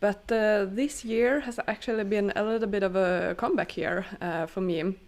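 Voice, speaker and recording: A woman's voice: mid-range voice, normal speed. Hesitant woman podcast co-host. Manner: hesitant, many fillers and restarts, laughs often. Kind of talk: casual chat.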